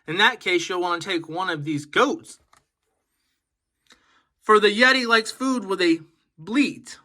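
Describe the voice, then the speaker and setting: Man acting an indignant, bright-voiced female monster. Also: high-pitched, takes it slowly, heavily acted. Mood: advising. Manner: indignant, emphatic. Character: big indignant female mountain monster, bossy yet helpful.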